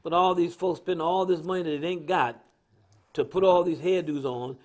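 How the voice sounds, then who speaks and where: A man's voice: fairly high pitch, steady tone, normal speed, a little mellow. His voice sits well above most men's. He is an American speaker giving unscripted religious social commentary. He talks in a colloquial, storytelling way and hammers points home by repeating them. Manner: emphatic, repetitive, colloquial storytelling. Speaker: speaker on a religious talk live stream. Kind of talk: impromptu preaching-style social commentary.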